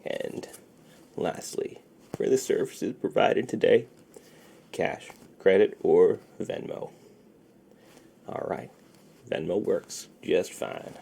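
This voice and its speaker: A man doing a soft-spoken ASMR roleplay as a shady, friendly underground dealer, with slow folksy patter that often drops to a near-whisper. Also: a little hiss or hum. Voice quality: gravelly, low, often breaking into near-whisper.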